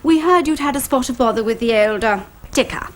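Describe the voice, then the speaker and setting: An actress playing a loud, bossy middle-aged neighbour woman who talks in a booming, brisk and hearty way, in a British TV sitcom. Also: expressive, close to an everyday voice. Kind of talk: 1980s British sitcom acting.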